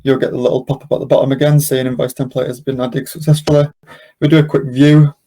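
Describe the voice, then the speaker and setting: Male webinar host, mid-pitched and relaxed in tone, explaining software steps in an informal, unscripted way. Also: fast talker. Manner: casual, step-by-step explaining.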